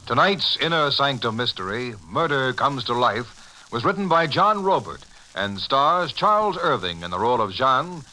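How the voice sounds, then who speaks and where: Very bright male vintage radio announcer. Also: mid-range voice, big pitch swings, moderate pace, rough recording.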